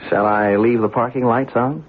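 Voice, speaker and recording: A man's voice: medium pitch, steady tone, moderate pace, breathy edge, lightly acted. An actor playing a skeptical, down-to-earth man in a radio drama, speaking in a blunt, colloquial way. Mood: wary, casual. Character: skeptical, down-to-earth man investigating strange phenomena, narrating. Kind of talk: radio drama acting and first-person narration. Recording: phone-like old radio recording, music behind.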